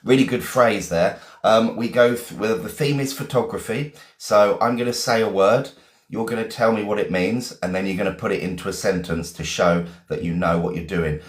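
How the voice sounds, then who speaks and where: Chatty English man, low voice, roomy sound. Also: normal speed. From England, Essex. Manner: chatty, greets viewers one by one, many "um" fillers. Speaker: English teacher hosting a live stream. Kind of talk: casual live-stream lesson.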